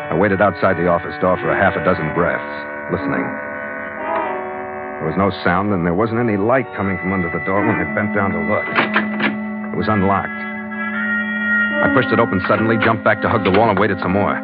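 A tinny vintage radio recording, with music behind, carries a tough male sea captain. His medium-pitched voice moves animatedly through wry, hard-boiled dialogue and narration in a forties adventure serial style. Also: sing-song, fairly quick, pauses often. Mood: tense, wry narration. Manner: sardonic, terse. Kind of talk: 1940s-style radio drama acting and narration.

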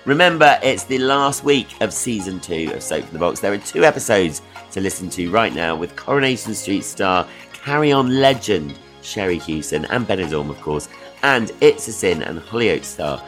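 A man from England hosts a podcast in a friendly, upbeat way. He asks quick questions and signs off cheerfully. His voice sits on the low side, with faint music playing behind him. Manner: friendly, quick quiz-style questions and upbeat sign-offs. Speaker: podcast host. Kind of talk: casual interview and podcast outro.

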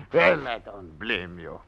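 An actor playing an imperious, teasing older maestro in an old radio drama, pompous and lecturing, often repeating himself. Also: male voice, on the low side, big pitch swings, slow, creaky, pushed and bright, full character voice. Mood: teasing, brusque. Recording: dull, hissy old radio recording.

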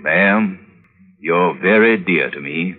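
An actor playing an earnest, courtly married gentleman who sweet-talks, in an old-time radio drama. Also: sits low, slow, exaggerated voice. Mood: courtly, romantic. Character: smooth-talking, pleading married gentleman adulterer. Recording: narrow-band vintage radio recording.

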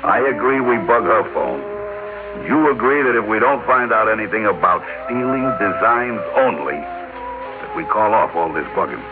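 Actor playing a low, troubled businessman on old radio. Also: animated, moderate pace, natural voice. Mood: troubled, reasoning. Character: reluctant, conscience-troubled business partner, older brother, narrating his story. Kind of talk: old-time radio drama acting. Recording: muffled old radio broadcast, music behind.

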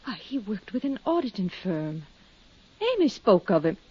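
A woman playing a distraught, bewildered widow in a 1950s radio drama, breathy and halting, with emotional delivery. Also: mid-range voice, sing-song. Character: distraught, bewildered widow, fiercely loyal sister. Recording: dull 1950s radio recording.

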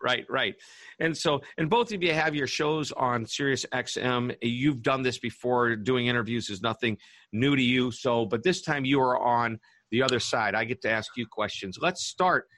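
A man's voice: mid-range voice, moderate pace, a bit bright, dry recording. A man hosting a business radio show, chatting in an upbeat, enthusiastic way as he introduces guests. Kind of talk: casual interview hosting.

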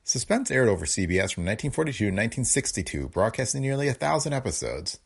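Podcast host, man, lively pitch, husky, gravelly. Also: quick pace. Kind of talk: podcast introduction.

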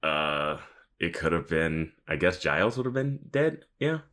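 A man with a very deep voice talks casually as a podcast co-host. His intonation moves around a lot, giving his off-the-cuff chat an animated, exclamatory feel. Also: measured pace. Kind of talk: casual chat about a TV show.

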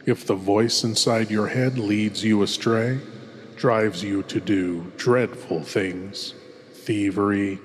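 A man hosting a horror show with theatrical scripted narration and deliberate spooky showmanship. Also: lowish voice, steady tone, husky.

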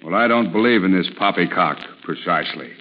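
An actor playing a blunt, skeptical police commissioner with a brusque, authoritative manner in an old radio drama. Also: male voice, deep voice, brisk. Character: gruff, skeptical, no-nonsense male police commissioner. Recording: muffled, hissy old radio recording.

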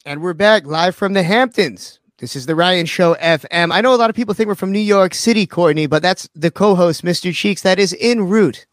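A man's voice: high-pitched, brisk, hardly any pauses. A man hosting a live radio interview, joking and teasing his guest. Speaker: radio show host.

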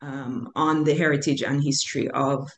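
An older Jamaican woman speaks in a measured, thoughtful way, pausing often with fillers. Her voice carries a noticeable room echo. A faint hiss sits underneath. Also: extremely low pitch, little pitch movement. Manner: measured, hesitant with many fillers. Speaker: author, guest. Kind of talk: thoughtful interview answers. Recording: echoey room with faint noise.

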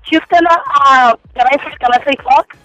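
A woman's voice: high voice, a little fast, pushed and bright, faint music behind. A young woman from Cuba with a Spanish accent, talking frankly and giggling as a phone-in guest on a casual radio interview. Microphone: telephone. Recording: dull lo-fi phone line.

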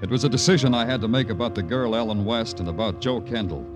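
An American actor playing a dry, wry police lieutenant in a 1950s radio crime drama, with clipped, sardonic, deadpan delivery. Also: male voice, fairly low pitch, quick pace, close to an everyday voice. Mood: wry, measured. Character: dry, wry male New York police lieutenant narrator. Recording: vintage radio recording with music behind.

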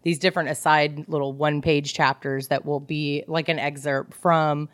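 A woman co-hosting a casual book discussion, retelling things in an animated way and acting out dialogue. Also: low voice, normal speed, no echo. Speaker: podcast co-host.

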